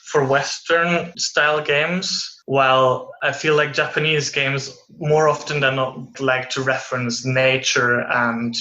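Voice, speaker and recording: A young man from Belgium, a guest in an interview, explaining his work with thoughtful pauses and restarts. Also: medium pitch, fairly even intonation, sharp tone, echoey room, lo-fi sound. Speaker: interview guest, VFX artist. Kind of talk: casual interview conversation.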